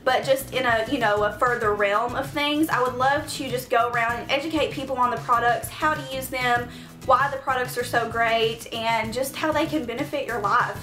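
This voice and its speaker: A woman's voice: on the high side, bright, forceful tone, roomy sound. A woman in her twenties from Tennessee with a Southern accent, chatting casually through a Q&A vlog, earnest and full of "um" and "like". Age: 20s. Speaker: beauty vlogger.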